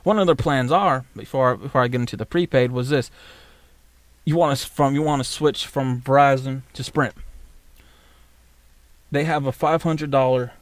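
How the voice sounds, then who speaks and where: A man from the United States delivers a rambling vlog rant in a blunt, profane, straight-talking way, full of asides and fillers. His voice sits at an ordinary middle pitch, and the sound is dry and close, with no room echo. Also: normal speed, long pauses. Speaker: vlogger. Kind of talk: casual ranting monologue.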